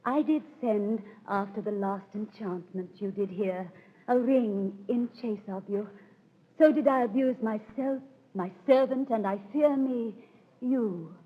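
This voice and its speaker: A woman playing a proud, yearning highborn lady, with stately verse delivery in 1930s radio Shakespeare style. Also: a little slow, breathy edge. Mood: courtly, yearning. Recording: thin, phone-like 1930s radio recording.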